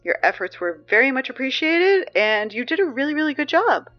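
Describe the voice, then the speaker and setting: A woman plays a chatty young announcer whose patter keeps tipping into fluster. Her voice sits fairly high. The recording is slightly dull, with faint music under it. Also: very animated, brisk. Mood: chipper. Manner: chatty, growing flustered and panicky. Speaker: school announcer. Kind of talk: audio drama acting.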